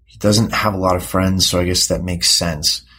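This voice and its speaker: An actor playing a moody, defensive high school boy in therapy, speaking in a hesitant, halting way in a naturalistic audio drama. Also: fairly even intonation, sharp tone. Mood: defensive, reluctant. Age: teenager. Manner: hesitant, halting, repeats words.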